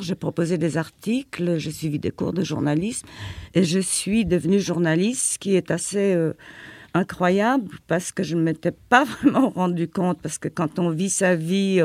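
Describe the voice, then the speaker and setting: Older woman, non-native French, former journalist looking back; gravelly, halting delivery. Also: lowish voice, darkish tone, no room sound. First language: not French. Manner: hesitant, many fillers and repeated words. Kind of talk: reflective interview answers.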